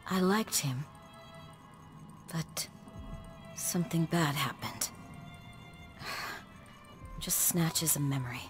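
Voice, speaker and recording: A woman playing a frail, remorseful doctor in a video game, speaking in a halting, subdued way. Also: fairly low pitch. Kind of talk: video game cutscene acting.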